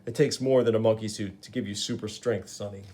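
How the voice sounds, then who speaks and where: A man's voice: on the low side, fairly even intonation, brisk, dark tone, a little hiss or hum, natural voice. Brief: man playing a wisecracking young hero, breezy and dry. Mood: wry. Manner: breezy, sarcastic quips. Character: wisecracking young male superhero.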